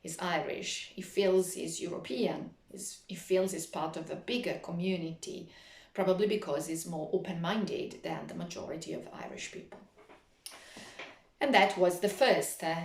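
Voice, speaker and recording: A woman teaching literature in an explanatory lecture, speaking didactically with frequent hesitant pauses. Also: on the low side, reverberant.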